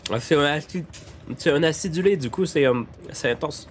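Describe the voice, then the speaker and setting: A young man streaming a game live, chatting to his viewers, a little nasal from a cold, repeating himself when excited. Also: a little high, normal speed, faint music behind. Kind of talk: live gameplay commentary.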